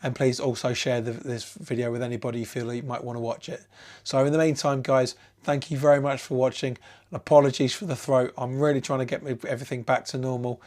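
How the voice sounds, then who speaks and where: A man talks through a football match reaction on his fan channel, his voice croaky from a sore throat. His pitch sits in an ordinary middle range. He rambles and restarts his sentences, keen and upbeat. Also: little pitch movement, fast talker, a little mellow. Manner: rambling, many restarts, enthusiastic. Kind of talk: solo match reaction vlog.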